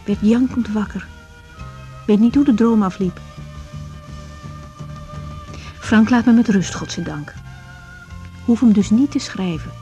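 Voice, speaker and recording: A woman's voice: medium pitch, normal speed, long pauses, warm tone, dull audio, soft background music, natural voice. An actress in a radio drama playing a young woman doctor who is guarded and inwardly troubled. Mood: troubled, introspective.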